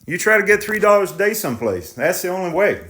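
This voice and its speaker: A man's voice: high voice, very animated, quick pace, fairly bright tone, pitched above the performer's own voice. A man reading aloud, voicing a terse, defensive young tractor driver in clipped working-class speech. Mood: blunt.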